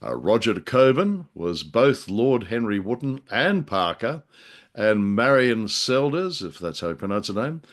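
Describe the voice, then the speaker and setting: A man co-hosting a casual discussion, speaking in a wordy, halting and wry way. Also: on the low side, very animated. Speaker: podcast co-host.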